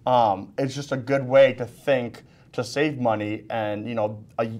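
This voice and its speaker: A man in his thirties hosts a relaxed business talk show from a studio desk. His voice sits at an ordinary pitch. He keeps things loose and throws in jokes. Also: a little slow. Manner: jokey, easygoing. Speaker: host. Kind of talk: casual panel chat.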